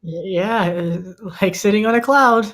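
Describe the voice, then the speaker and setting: A woman's voice: mid-range voice, measured pace, a bit bright, lightly acted. A woman playing a nervous, eager, bewildered newcomer in a comedy table read, hesitant and stammering.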